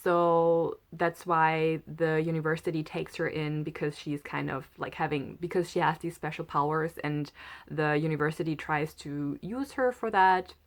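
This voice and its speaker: A woman with a German accent, speaking English as a second language, talks through a book haul in a casual vlog. Her voice sits a little low for a woman, and she sounds chatty and eager as she goes. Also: fairly even intonation, normal speed. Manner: chatty and enthusiastic. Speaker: book vlogger.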